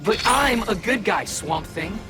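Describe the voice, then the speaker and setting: A man's voice: sits high, lively intonation, measured pace. An actor playing a good-natured young male superhero in a video game, casual and quippy. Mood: cheeky. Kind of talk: video game character acting. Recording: loud game music behind.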